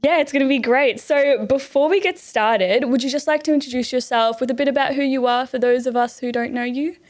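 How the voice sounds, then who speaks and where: A woman's voice: high voice, fairly quick. A woman hosting a podcast in an informal, chatty way. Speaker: podcast host. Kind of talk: casual podcast interviewing.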